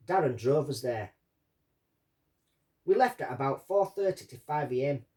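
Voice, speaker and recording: A man reading out a witness statement and commenting on it as a true-crime host, in deliberate short phrases. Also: fairly high pitch, darkish tone. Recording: distant from the microphone.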